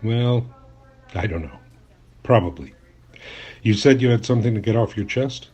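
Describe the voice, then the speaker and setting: An actor playing an earnest, curious journalist in an audio drama, speaking and narrating in a conversational, mildly skeptical way. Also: male voice, on the low side, normal speed, darkish tone, husky. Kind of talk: audio drama acting with first-person narration.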